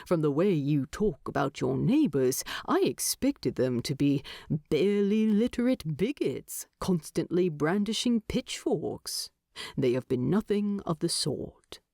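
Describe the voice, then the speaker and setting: A woman voicing a steady, fond, dignified male dwarf in an audiobook, speaking in a measured, gently wry way. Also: lowish voice, gravelly, no room sound, clean studio sound, full character voice. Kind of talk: audiobook character dialogue.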